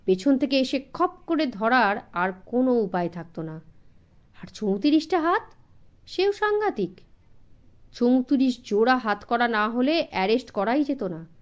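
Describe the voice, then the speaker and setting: A woman voicing a sharp male detective in his late twenties for an audiobook, with an inquisitive, teasing manner. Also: a little high, sing-song, unhurried. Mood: musing, playful. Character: sharp, wry 28-year-old male private detective.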